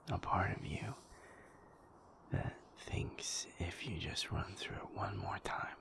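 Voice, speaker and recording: A man playing a gentle, patient werewolf in an intimate audio roleplay, soothing and reassuring in a halting, breathy, creaky whisper. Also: deep voice, full character voice. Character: gentle, patient male werewolf comforting a sleepless partner.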